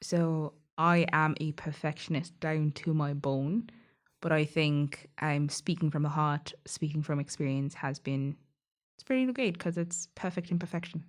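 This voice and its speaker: A woman's voice: sits low, moderate pace. A woman from Kenya, a youth activist speaking in an interview. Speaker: youth activist, podcast co-host. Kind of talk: interview answers.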